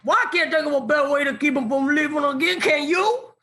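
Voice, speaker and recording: A man's voice: extremely high pitch, a little fast, sharp tone, full character voice. A man playing a kindly, folksy old grandfather with a Southern US accent in an audio drama. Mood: reassuring.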